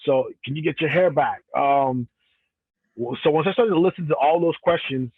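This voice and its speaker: A man's voice: on the high side, moderate pace, husky, dry recording, narrow, tinny audio. A professional wrestler from Cameroon, a man answering interview questions in a casual, rambling way with frequent restarts. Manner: rambling, many restarts and self-corrections.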